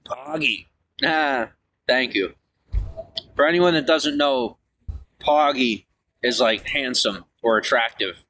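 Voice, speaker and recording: A man's voice: medium pitch, takes it slowly, long pauses, pushed and bright. A man livestreaming in casual, rambling talk as he reads and answers messages from viewers.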